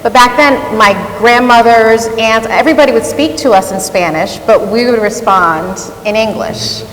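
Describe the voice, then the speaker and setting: A woman who is a senior news anchor, telling stories from her life as a panelist and acting out remembered conversations. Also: normal speed. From New York. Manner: animated, acts out remembered exchanges, laughs easily. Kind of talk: panel discussion, personal storytelling. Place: large event hall. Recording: echoey hall, faint rumble.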